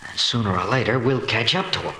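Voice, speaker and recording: An actor playing a cocky, wisecracking newspaper reporter in a hissy 1940s radio drama. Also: male voice, mid-range voice, fast talker, natural voice, low-quality recording. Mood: confident, determined. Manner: brash, slangy wisecracking delivery.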